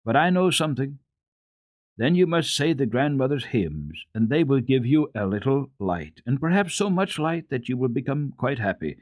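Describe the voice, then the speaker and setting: A man's voice: mid-range voice, animated, normal speed, a little mellow, no room sound, pitched above the performer's own voice, close to an everyday voice. A man voicing an earnest, devout, trusting little girl in a solemn, simple and assured way, for a storybook reading. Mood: earnest, comforting.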